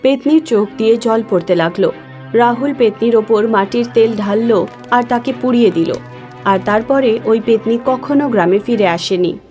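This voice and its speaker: A woman's voice: on the high side, normal speed, dark tone, faint music behind. A woman reading scripted story narration as the narrator.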